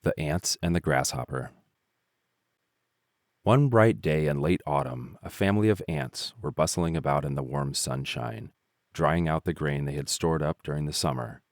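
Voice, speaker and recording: A man narrating fables in an expressive, measured storytelling style. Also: a bass voice, dry recording, clean studio sound.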